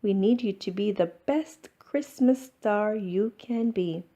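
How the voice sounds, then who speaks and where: A woman's voice: mid-range voice, takes it slowly, mellow. A woman voicing an encouraging but firm primary school teacher in a children's storybook, announcing and reassuring.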